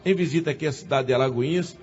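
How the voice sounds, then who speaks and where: Radio host man, fairly high voice. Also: quick pace. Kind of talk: radio interview. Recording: muffled radio with faint music.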